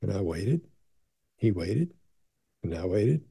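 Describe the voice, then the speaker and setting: A gravelly man in his sixties talks straight to his webcam from a home office, loose and conversational, circling back to reassure the viewer. His voice sits low and very dark, with a husky edge. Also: sing-song, slow. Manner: conversational, repeats and restarts, leans into reassurance. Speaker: body language expert. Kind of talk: casual Q&A talk to camera.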